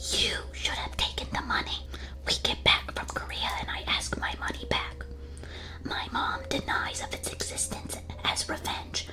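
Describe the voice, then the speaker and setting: Girl streamer whispering asmr close on the mic, playful fake-serious, reading stuff out n reacting. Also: low-quality recording. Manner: playful, mock-solemn. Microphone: close ASMR microphone.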